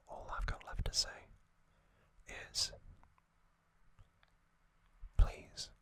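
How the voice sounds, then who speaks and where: Slow whispering male ASMR host. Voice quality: breathy unvoiced whisper. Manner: slow, gentle, pausing delivery.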